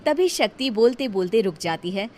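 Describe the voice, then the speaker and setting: A woman's voice: mid-range voice, expressive, normal speed, a little mellow. A woman reading scripted story narration as the narrator.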